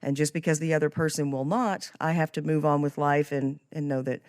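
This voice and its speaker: A woman's voice: low voice, steady tone, brisk, a little mellow, no room sound, studio quality. A woman hosting a podcast interview, talking conversationally about a book and faith. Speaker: podcast host.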